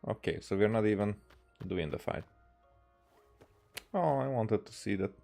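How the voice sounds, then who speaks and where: Casting: Hungarian-accented man, lowish voice, casual halting streamer musing over a game, light music underneath. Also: creaky, dark tone. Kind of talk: casual gameplay commentary.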